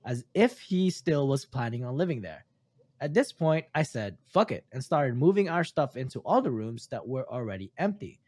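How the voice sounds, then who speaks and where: A man's voice: mid-range voice, very animated, normal speed, darkish tone, breathy edge, dry recording. A man who is not a native English speaker reads a story aloud for a podcast, stumbling now and then on his words. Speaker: podcast host reading stories.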